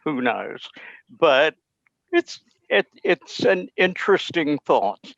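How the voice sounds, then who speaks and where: A man with a fairly high voice chats informally on a video call. He talks slowly and muses as he goes. The sound is dry and a little dull. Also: big pitch swings, creaky, a bit bright, no room sound. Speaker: presenter. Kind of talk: casual chat.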